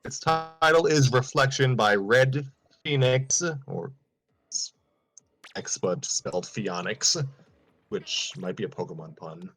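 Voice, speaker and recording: A man's voice: mid-range voice, slow, fairly bright tone, soft background music, rough recording. A man hosting a casual livestream, rambling and correcting himself with chuckling asides. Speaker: host.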